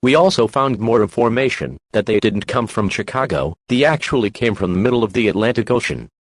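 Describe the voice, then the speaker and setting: A man's voice: fairly low pitch, lively intonation, a little fast, husky, no echo. Formal male emergency alert announcer, dry sound.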